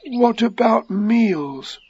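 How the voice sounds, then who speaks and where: A man voicing a slow, doleful, greedy toy bear in a children's storybook, with drawn-out, plaintive speech. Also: high voice, dull audio, voice pushed up. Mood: gloomy.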